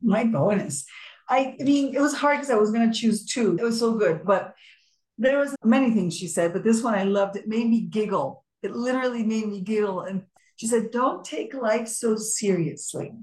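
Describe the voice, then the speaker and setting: A woman co-hosting a podcast in casual conversation, telling things in an animated way and acting out quoted remarks. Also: mid-range voice, moderate pace. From Colombian American, United States. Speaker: podcast co-host. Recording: echoey room.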